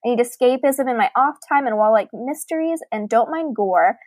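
Higher-voiced woman podcasting in a dry studio. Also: expressive, a little fast, a little mellow, no room sound. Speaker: podcast co-host. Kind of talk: reading listener email aloud and casual book discussion.

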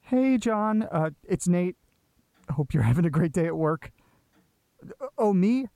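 Nervy, needy guy: slightly high, warm dark tone, very dry sound, jittery naturalistic drama delivery. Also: lively intonation, a little slow, gravelly, no echo, full character voice. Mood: anxious, pleading. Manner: hesitant, rambling, jittery. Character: anxious, sweary male tape listener, needy and rattled. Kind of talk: naturalistic audio drama acting.

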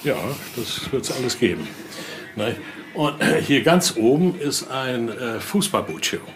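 A man's voice: medium pitch, big pitch swings, unhurried. A man giving an informal guided tour, explaining things and often adding small tag questions. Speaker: museum guide and voodoo expert. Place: indoor exhibition room. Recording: faint background noise on location.

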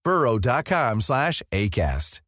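A man's voice: medium pitch, sing-song, slow pace, a bit bright, faint music behind. A man delivering scripted advertising narration as an announcer.

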